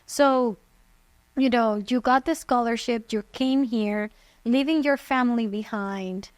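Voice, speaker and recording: A woman hosts a casual interview, her voice sitting fairly high. She loops through her questions with many "right?" and "you know" tags. The sound is dry and close. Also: measured pace, no room sound. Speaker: podcast host. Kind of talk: conversational interview.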